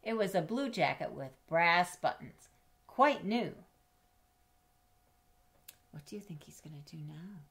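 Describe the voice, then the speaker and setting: A middle-aged woman reading a picture book aloud to children, expressively, pausing to explain and ask questions in a teacherly way. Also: fairly low pitch, expressive, creaky, fairly bright tone. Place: small indoor home room with bookshelves.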